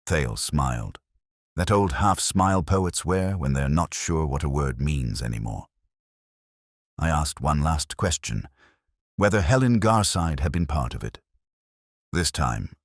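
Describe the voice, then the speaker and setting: A man playing a weary, middle-aged literary police inspector, narrating his case in a measured, reflective detective-drama style. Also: rumbling low, no echo, clean studio sound, heavily acted. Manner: measured, brooding, literary delivery. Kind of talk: scripted first-person detective narration.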